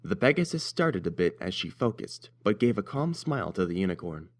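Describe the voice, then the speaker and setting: A man's voice: deep voice, sing-song, a little fast. A man reading scripted story narration as the narrator.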